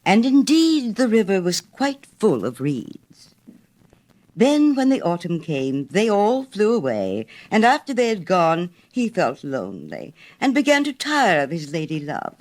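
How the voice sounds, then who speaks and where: Woman, audiobook narrator, dramatic storytelling, theatrical delivery, very animated intonation. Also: medium pitch, measured pace.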